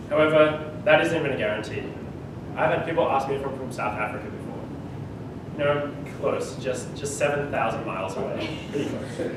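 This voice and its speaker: Australian teen giving an echoey class speech. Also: male voice, medium pitch, background noise. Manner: humorous, conversational, laughs mid-sentence. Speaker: student speaker. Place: school classroom. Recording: echoey room, far from the mic.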